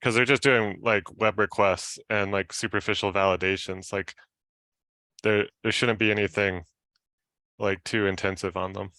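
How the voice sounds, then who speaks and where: Guy explaining tech stuff to coworkers, crisp edgy tone, very dry sound, informal. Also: on the low side, fairly even intonation, normal speed, bright, forceful tone, no echo. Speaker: software engineer in a team meeting. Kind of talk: casual technical explanation.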